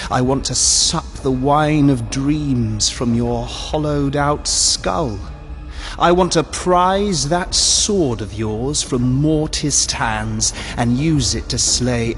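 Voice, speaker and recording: Man acting as a slow, taunting possessed-corpse demon. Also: medium pitch, natural voice. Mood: gloating, bloodthirsty. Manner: mocking, verbose, savouring slow threats. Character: sinister, taunting male demon possessing a dead soldier. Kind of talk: audio drama villain acting.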